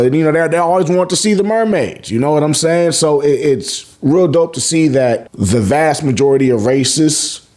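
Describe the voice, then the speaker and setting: A man's voice: medium pitch, a little mellow. An American man hosting a casual, slangy and profane recap, sometimes acting out lines as he goes. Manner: profane, informal, acts out characters' lines. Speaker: YouTube host reviewing manga chapters.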